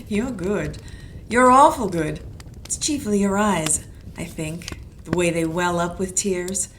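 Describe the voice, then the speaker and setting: A woman's voice: on the low side, slow pace, low-quality recording. A woman playing a tough, wisecracking female private detective, talking in a dry, sardonic, clipped way, in an amateur audio drama. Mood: cool. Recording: clear background noise.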